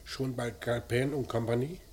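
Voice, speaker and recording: An actor playing a terse police inspector in a radio crime drama, asking short, businesslike questions. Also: male voice, mid-range voice, fairly even intonation, moderate pace, a little mellow, slight background noise, lightly acted. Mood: probing, restrained. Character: probing, terse male police inspector on a murder case.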